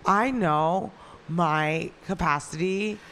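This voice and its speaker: A woman's voice: fairly low pitch, slow pace, a bit bright, slight background noise. A young adult woman chatting casually and gossipily as a podcast co-host, emphatic and leaning on filler "like". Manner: gossipy, emphatic, heavy use of "like". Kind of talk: casual chat.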